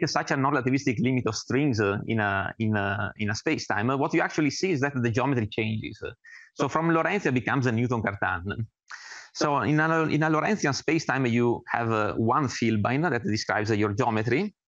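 A man from Italy with an Italian accent gives an informal research presentation as an academic, speaking haltingly with many filled pauses. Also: mid-range voice, expressive, fairly bright tone, dry recording, dull audio. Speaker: academic researcher introducing himself and his work.

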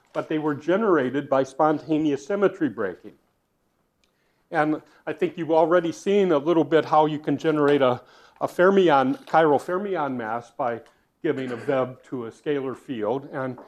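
Lecturing man, higher voice, halting delivery. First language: not Dutch. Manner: thinking aloud with restarts and fillers, varying loudness. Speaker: physics lecturer. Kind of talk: university lecture at a board.

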